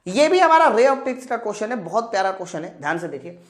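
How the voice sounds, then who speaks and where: High-pitched, animated male teacher. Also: quick pace. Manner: emphatic, repetitive, addressing students with questions. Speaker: physics teacher. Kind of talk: exam-prep lecture, solving questions aloud. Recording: roomy, echoing sound.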